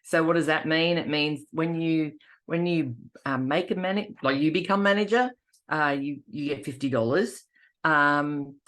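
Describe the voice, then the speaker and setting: A woman's voice: low-pitched, a bit bright. A middle-aged woman with an Australian accent giving an informal team update in a loose, rambling way with many restarts.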